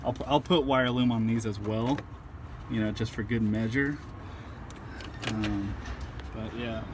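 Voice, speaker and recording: A man giving an informal, halting how-to demonstration, thinking aloud as he goes. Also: on the low side, fairly even intonation. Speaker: amateur truck owner showing a DIY install. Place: outdoors at a truck. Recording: handheld outdoor recording with clear background noise.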